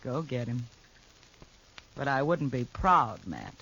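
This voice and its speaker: A woman's voice: extremely low pitch, sing-song, slow pace, a bit bright, a little hiss or hum, full character voice. An actress playing a worldly, protective woman who runs dance hall girls, coaxing and wry, in a 1950s radio drama.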